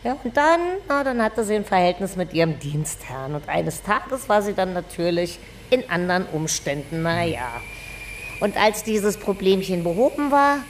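A woman's voice: on the low side, expressive, normal speed, a little hiss or hum, lightly acted. An actress playing a gossipy, earthy Berlin landlady in a radio drama, chatty and coy, then blunt. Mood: chatty, indignant.

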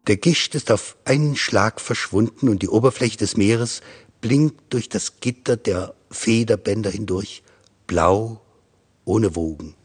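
A man's voice: on the low side, unhurried, breathy edge. A man giving a dramatic reading of literary prose as a narrator. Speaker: reader of literary texts.

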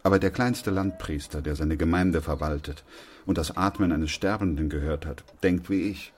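A man playing a sober, weary, steadfast doctor in a German radio play, speaking in a grave, measured, reflective way. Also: low-pitched, a little hiss or hum, close to an everyday voice. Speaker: actor playing the narrator and lead.

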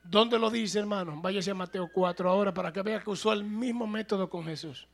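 A male pastor preaching a sermon, moving between plain conversational talk and loud, shouted passages. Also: high voice, fairly quick, vocal fry.

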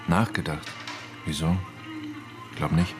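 A man's voice: rumbling low, steady tone, slow pace, over music. An actor playing an evasive, irritable man under questioning in a German radio drama, talking in a curt, slangy way. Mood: defensive, evasive. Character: defensive, evasive man questioned about dead classmate.

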